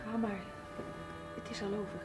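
An actress playing a hurt, restrained secretary in a naturalistic 1970s television drama. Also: female voice, mid-range voice, steady tone, moderate pace, recorded from a distance, over music, close to an everyday voice. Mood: hurt, subdued. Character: hurt, restrained secretary quietly attached to her boss.

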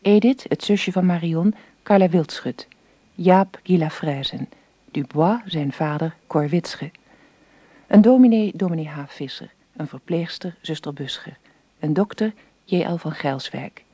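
A woman's voice: fairly low pitch, a little slow, mellow, no room sound. A woman announcer reading scripted credits for a radio drama series.

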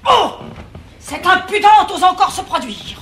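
A woman's voice: very high-pitched, big pitch swings, unhurried, fairly bright tone, exaggerated voice. A woman playing an imperious, pedantic bourgeois mother in a French verse stage comedy, haughty and declamatory. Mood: indignant. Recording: echoey, noisy vintage theatre recording.

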